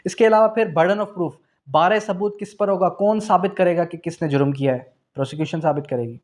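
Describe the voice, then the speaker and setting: Male law lecturer, Pakistani accent, slightly high voice, didactic delivery built on self-answered questions and repetition. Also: fairly quick, darkish tone. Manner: repeats key phrases for emphasis; switches between Urdu and English terms. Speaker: law teacher. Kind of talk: exam revision lecture.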